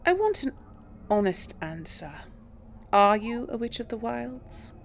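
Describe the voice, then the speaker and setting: A woman's voice: fairly high pitch, big pitch swings, unhurried, slight background noise. A woman doing a casual let's play, commenting and role-playing dialogue as a gaming host.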